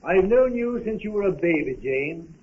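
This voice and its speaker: A kindly older gentleman speaks formally and a little hesitantly in a high, careful voice. The vintage radio drama sounds thin as a phone line, with faint noise. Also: expressive, moderate pace. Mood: gentle concern. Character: kindly, fatherly older family friend, proper and cautious. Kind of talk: 1930s radio acting. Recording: thin, phone-like, hissy 1930s radio recording.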